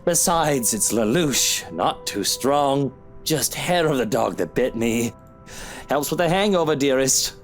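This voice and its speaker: Boozy husband for a visual novel: jealous, needling, theatrical, widely swinging pitch, music underneath. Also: male voice, expressive, a little slow. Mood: sly, pleading. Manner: mocking endearments, needling, theatrical. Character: hungover drinking husband, jealous, sarcastic, quarrelsome man. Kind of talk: visual novel game voice acting.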